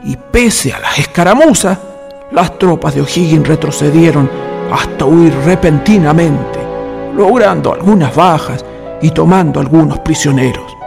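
A man's voice: on the high side, unhurried, creaky. A man narrating a historical radio drama from a script in a dramatic style. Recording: long reverb, music behind.

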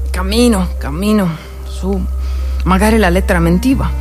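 A woman's voice: medium pitch, natural voice. An actress playing a weary, hungry but feisty mountain peasant woman, earthy and wry, in a theatrical monologue. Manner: earthy, wry, sighing with effort.

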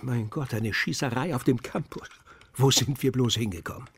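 A middle-aged academic superior in a radio crime drama. His voice is husky and mid-pitched, with a formal, solicitous manner. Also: normal speed. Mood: concerned.

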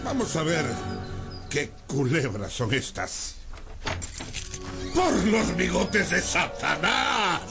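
An actor playing a stingy, cruel priest in a radio drama, scolding and gloating with sanctimonious menace. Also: male voice, a little high, very animated, takes it slowly, vocal fry, long pauses, over music, exaggerated voice. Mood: menacing, suspicious. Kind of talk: theatrical radio drama acting.

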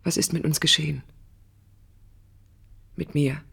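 A woman's voice: sits low, steady tone, unhurried, vocal fry, a bit bright, slightly rough, heavily acted. An actress playing a lonely, pensive young woman who speaks intimately and wistfully to her absent brother in a radio drama. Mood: tender, reflective. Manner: introspective, wistful, lyrical.

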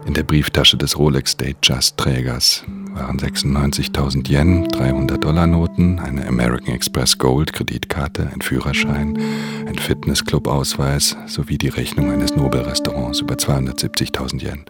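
Brooding, very deep-voiced pickpocket. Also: male voice, little pitch movement, normal speed. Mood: brooding, controlled. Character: brooding solitary male pickpocket recounting his crimes. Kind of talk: literary radio drama narration. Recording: studio radio drama with prominent music.

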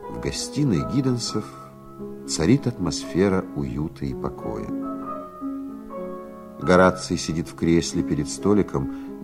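Radio play narrator, extremely low voice, calm scene-setting, music behind. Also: male voice. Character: male narrator calmly setting a drawing-room scene. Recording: 1970s radio drama recording. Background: musical instrument playing.

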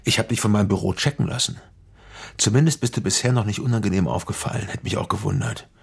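An actor playing a hard-nosed middle-aged politician in a radio drama, stern, lecturing and condescending in a naturalistic way. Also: male voice, lowish voice, fast talker, vocal fry, breathy edge. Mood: cold, threatening.

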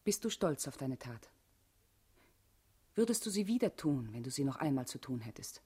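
An actress playing a guarded, urgent female party comrade in a German radio play, speaking gravely and deliberately with a husky, intimate voice. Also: rumbling low, very animated, warm tone, full character voice. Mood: grave, probing.

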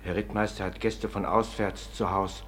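A man plays an official's clerk in a radio play. He has a fairly low voice and reports with dutiful, formal politeness, over a light layer of noise. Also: level tone, normal speed, fairly bright tone, lightly acted. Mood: deferential. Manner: deferential, formal. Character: polite, dutiful male clerk announcing callers. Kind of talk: German radio drama acting.

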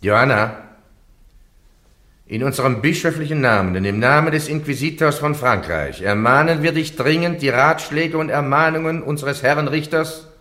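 An actor playing a solemn, authoritative bishop presiding over a trial in a radio play, formal, measured and admonishing. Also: male voice, a little high, expressive, pushed and bright. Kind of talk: German radio play acting.

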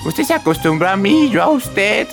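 An actor playing a sentimental old country husband with a Colombian accent in a radio drama. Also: male voice, sits high, big pitch swings, normal speed, gravelly, full character voice. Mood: nostalgic, tender. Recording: radio play with loud music underneath.

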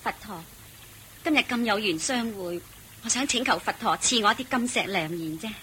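An actress playing a humble, devout peasant mother in a radio drama, husky and respectfully earnest. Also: medium pitch, brisk, a bit bright, a little hiss or hum, close to an everyday voice. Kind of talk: Cantonese radio drama acting.

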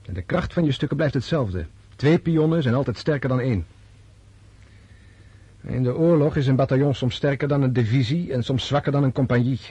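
An actor in a Dutch radio drama playing a disillusioned, resolute Russian officer prince, speaking in a deliberate, scornful way. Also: male voice, mid-range voice, mellow, slightly rough, no echo, highs cut off, lightly acted. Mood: bitter, lecturing.

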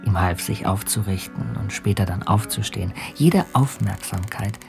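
A man's voice: fairly low pitch, moderate pace, over music. A man acting an earnest, compassionate ship captain and polar explorer in a radio play. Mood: reflective narration. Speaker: narrator and character in radio play. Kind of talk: radio drama acting with letter narration. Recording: clear noise, ambience behind.

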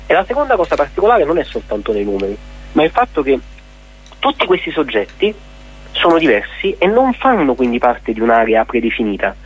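A man speaks as an activist guest in a radio interview. His voice sits at an ordinary male pitch with a husky edge. He explains things earnestly and at length, in spontaneous speech. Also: big pitch swings, brisk, poor audio. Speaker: activist guest presenting an event.

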